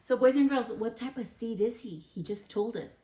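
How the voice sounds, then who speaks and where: A woman teacher leading an interactive read-aloud for children, expressive and full of guiding questions. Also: on the high side, moderate pace, echoey room. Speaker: elementary school teacher.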